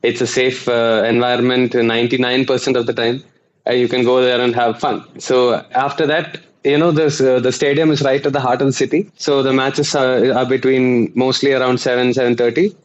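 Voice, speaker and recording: Guest journalist: man, mid voice, measured explaining, muffled remote line. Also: medium pitch, level tone. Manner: explanatory, with many uh fillers and restarts. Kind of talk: conversational interview.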